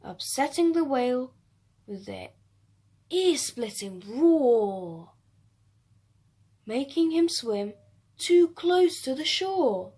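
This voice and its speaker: Slow, high-voiced woman storyteller. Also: husky. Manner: expressive, measured. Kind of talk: children's rhyming read-aloud.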